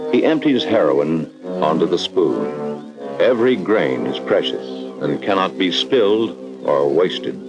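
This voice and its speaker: Male American narrator, very low and highly modulated, ominous and emphatic, for a vintage cautionary documentary. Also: lo-fi sound. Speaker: narrator of an educational film. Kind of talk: dramatic scripted 1960s documentary narration. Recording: vintage 1960s film soundtrack. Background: dramatic background music.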